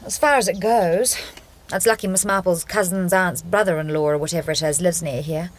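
A woman's voice: fairly low pitch, fairly even intonation, brisk, close to an everyday voice. An actress playing an earnest, inquisitive young married woman in a radio drama, polite and questioning. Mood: uneasy, reflective. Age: young adult.

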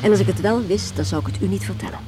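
A woman's voice: medium pitch, racing, over music, close to an everyday voice. An actress in a Dutch radio drama playing a curt, stubborn working mother who defiantly guards her son. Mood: proud, defiant. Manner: brusque, stubborn, dismissive.

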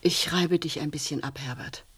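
A woman's voice: sits low, normal speed, husky. An actress in a German radio play playing a fretful middle-aged wife and mother who pleads and hesitates anxiously. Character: anxious, fussing housewife, worried wife and mother.

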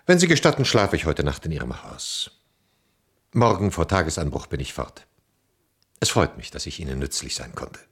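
A man playing a stern, accusing stranger, a loyal envoy, in a theatrical radio drama, formal, grave and reproachful with a husky voice. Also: a bass voice, big pitch swings, moderate pace, a bit bright, exaggerated voice. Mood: firm, formal.

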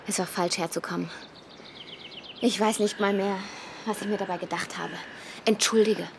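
A woman acting in a German radio drama as a weary, guilt-ridden carer, speaking with restraint and often hushed. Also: mid-range voice, steady tone, normal speed, gravelly, background noise, natural voice. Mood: hurt, resigned. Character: weary, guilt-ridden woman caring for her dying aunt. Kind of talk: German radio drama acting, dialogue and intimate inner monologue.

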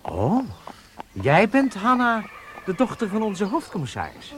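An actor playing a strict, confident riding instructor in a radio drama, commanding and insistent. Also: male voice, sits high, wildly swooping pitch, a little slow, a bit bright, slight background noise, heavily acted. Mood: polite, obliging. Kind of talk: Dutch radio drama acting.

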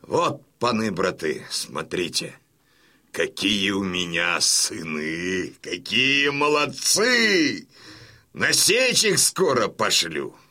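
An actor playing a boisterous, domineering old Cossack colonel and father in a Russian radio drama, with a rough, commanding, folksy delivery. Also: on the low side, very animated, slow, sharp tone, exaggerated voice. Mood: hearty, proud. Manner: commanding, hearty, folksy, declamatory.

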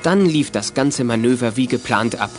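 A man's voice: mid-range voice, animated, normal speed, music in the background. A man narrating a children's radio drama in scripted storytelling style.

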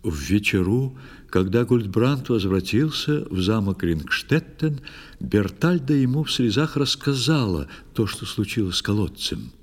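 A male storyteller with a low, husky voice narrates a poetic fairy tale. He speaks theatrically and intimately, with very animated intonation, over faint music. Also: a little slow. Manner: theatrical, intimate address to the reader.

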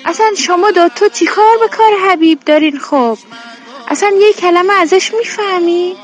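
High-voiced, exasperated Iranian homemaker. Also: female voice. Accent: Iranian Persian. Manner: colloquial, scolding yet fond. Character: exasperated, affectionate homemaker woman talking to children. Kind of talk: Persian radio drama acting. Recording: music bed behind the voice.

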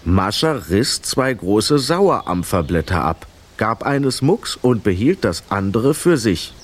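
A man narrating a children's radio play in an expressive, dramatic storytelling style. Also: fairly low pitch, takes it slowly.

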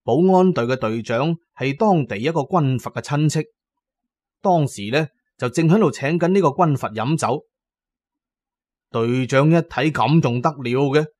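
A man with a Cantonese accent telling a story in a colloquial, expressive, dramatic narrating style. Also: fairly high pitch, moderate pace, no echo.

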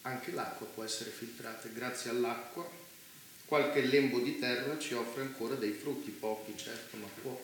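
A man's voice: medium pitch, fairly even intonation, fairly bright tone, close to an everyday voice. Man playing a condescending bunker master, heavily echoing. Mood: courteous, hosting. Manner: formal, measured, patronising. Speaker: stage actor. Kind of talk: Italian theatre dialogue. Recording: very echoey theatre, faint noise.

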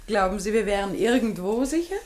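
An actress playing a professor's polite, questioning daughter in a radio play. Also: female voice, mid-range voice, lively intonation, a little fast, natural voice. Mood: politely puzzled.

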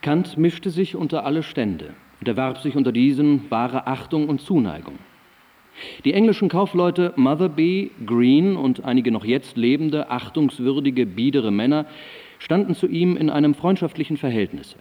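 An actor playing a learned, reverent admirer recalling an esteemed man in a radio drama, formal, literary and deliberate. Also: fairly high pitch, animated, narrow, tinny audio. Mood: respectful, genial. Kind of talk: radio drama recital of historical testimony. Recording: reverberant hall sound.

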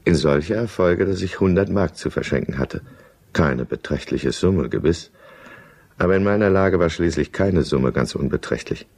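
An actor playing a self-justifying married businessman turned drinker, confessing in a brooding, deliberate way in a radio drama. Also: deep voice, animated, vocal fry, a little mellow, exaggerated voice. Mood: rueful, confessional. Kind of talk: confessional first-person radio drama narration.

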